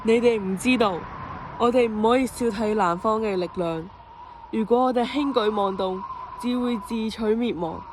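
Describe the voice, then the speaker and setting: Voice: mid-range voice, moderate pace, noisy background, natural voice. Resolute male patriot general, amateur actor, declamatory and earnest, medium register, radio drama. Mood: earnest, determined.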